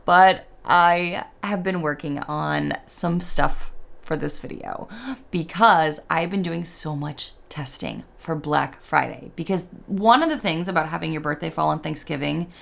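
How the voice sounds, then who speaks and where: Woman, about forty, low voice, gravelly, raspy morning voice, rambling casual chat, home vlog. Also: a little slow, a bit bright. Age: 40s. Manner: rambling, chatty, self-interrupting. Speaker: beauty vlogger. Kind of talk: casual chatty product review. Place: home beauty room.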